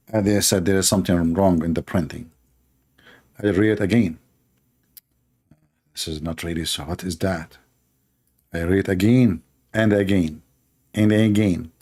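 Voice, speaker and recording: A man's voice: low-pitched, unhurried, long pauses, a little mellow. A man whose first language is Arabic, giving sarcastic, mocking commentary and laughing often. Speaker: online debater.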